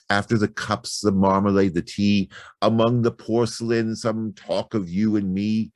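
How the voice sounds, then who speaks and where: A man reciting poetry in a theatrical, deliberate manner with long pauses between phrases. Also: medium pitch, no room sound. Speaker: poetry reader.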